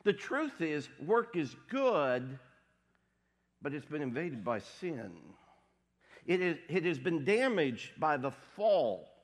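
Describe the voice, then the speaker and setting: A man preaching a sermon as pastor, congested from a cold, with a wry, deadpan humor. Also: on the high side. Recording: long hall reverb.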